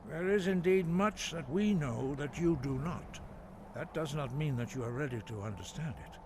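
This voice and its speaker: An actor playing a stern, solemn elderly monk mentor in a fantasy game, speaking in a measured, formal, grave manner. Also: male voice, a little high, lightly acted. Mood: grave, cautionary. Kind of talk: scripted fantasy game dialogue. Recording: very noisy game audio with background ambience.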